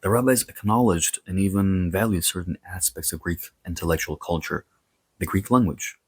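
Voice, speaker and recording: A man with a low, husky voice hosts an educational lecture. He reads scripted material in short, separated phrases and slips into casual asides as he explains. The sound is dry, with very little room echo. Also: moderate pace. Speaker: host of a history and mythology series.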